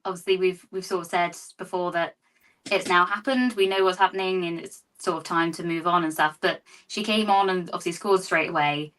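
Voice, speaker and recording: A woman's voice: fairly low pitch, fairly bright tone, distant mic, poor audio. A woman hosting a football fan show, who asks easygoing questions and laughs often. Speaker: show host. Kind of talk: casual football fan discussion.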